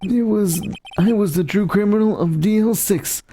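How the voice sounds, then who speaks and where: A man playing a haunted, anguished lawyer in a video game drama, speaking haltingly and dramatically. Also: sits high, mellow, slight background noise, natural voice. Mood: haunted, grave. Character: haunted, anguished male lawyer reliving a childhood trauma.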